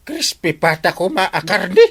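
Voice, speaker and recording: Whiny, wildly swooping village captain. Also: male voice, sits high, sing-song, measured pace, sharp tone, slightly rough, full character voice. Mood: whiny, pleading. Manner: whiny, hesitant stammering, exclamatory. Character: whiny male village captain malingering and craving meat. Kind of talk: Ilocano comedy radio drama acting.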